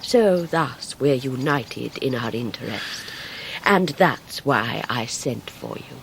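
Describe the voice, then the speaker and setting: An actress playing a bitter, haughty gentlewoman in a period radio drama, with a cutting, contemptuous delivery. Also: rumbling low, lively intonation, slow pace, creaky, slight background noise, exaggerated voice. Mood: cold scorn. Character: bitter, haughty, scornful gentlewoman of Victorian England.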